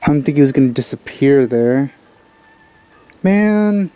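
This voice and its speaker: A man comments casually on a video game in a mellow, dark-toned voice. He grumbles in exasperation, recorded dry and close, with faint music behind. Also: medium pitch, expressive, unhurried, smooth, no room sound. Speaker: let's player.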